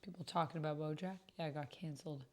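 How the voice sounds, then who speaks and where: A woman streamer chatting casually and reading typed roleplay aloud, sometimes halting as she types along. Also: low-pitched, steady tone, darkish tone. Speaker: streamer playing an online roleplaying game.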